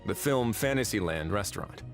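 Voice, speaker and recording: A man's voice: lowish voice, animated, moderate pace, close to an everyday voice. An actor playing an easygoing, wry male investigator in a video game, talking casually and reassuringly. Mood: composed. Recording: music playing behind the voice.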